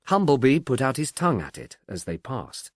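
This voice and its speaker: A man's voice: mid-range voice, very animated, moderate pace, no room sound, clean studio sound. A man reading scripted literary narration as an audiobook narrator. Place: close, dry recording.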